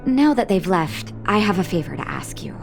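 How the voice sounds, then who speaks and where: An actress playing a calm, caring woman doctor in a video game, measured and gently admonishing, with a soothing way of talking. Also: medium pitch, gravelly. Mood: quietly concerned. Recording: guitar music behind the voice. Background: plucked guitar music.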